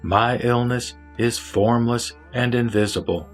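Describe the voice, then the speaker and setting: A man's voice: medium pitch, steady tone, a little slow, soft background music, close to an everyday voice. A man playing a wise, ailing lay sage who teaches in a solemn, formal way in a scripture audio drama. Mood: solemn, instructive.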